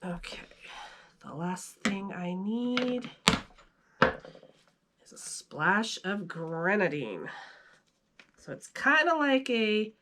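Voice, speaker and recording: A woman's voice: medium pitch, sing-song, takes it slowly, long pauses, very noisy. A woman hosting with a playful, chatty manner, moving between casual talk and children's story reading. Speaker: video host and storyteller. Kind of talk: casual vlog chat and children's story read-aloud.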